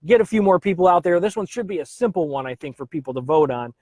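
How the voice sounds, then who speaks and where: Guy running his own live stream, upbeat and chatty, bit high voice, dry close mic. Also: lively intonation, quick pace, darkish tone, dry recording. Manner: upbeat, greets viewers by name, chatty with filler uhs. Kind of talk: casual live stream chat.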